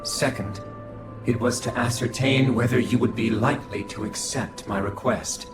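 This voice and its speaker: A man's voice: mid-range voice, steady tone, lightly acted. Man voicing a detached alien scholar, echoing. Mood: calm, formal. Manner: formal, measured, clinical. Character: detached alien scholar, coldly logical, formal. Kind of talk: video game cutscene acting. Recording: echoing voice over game music.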